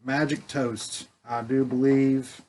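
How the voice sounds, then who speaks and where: A man with a clear voice without rasp, chatting in a laid-back, joking and agreeable way. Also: medium pitch, level tone, slow pace, a little mellow, a little hiss or hum. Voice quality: clear, not raspy. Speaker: cigar review co-host. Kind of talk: casual chat.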